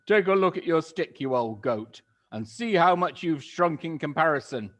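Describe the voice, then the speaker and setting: A man voicing a cruel, gloating old husband who taunts slowly and mockingly. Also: fairly high pitch, voice pushed up, natural voice. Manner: slow, drawn-out and mocking.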